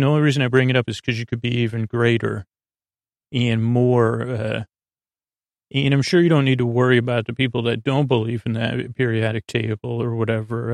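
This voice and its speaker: A man hosting a bedtime podcast in a rambling monologue, halting and stammering, often repeating himself and trailing off mid-word. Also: mid-range voice, dry recording. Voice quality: creaky, gravelly. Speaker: podcast host.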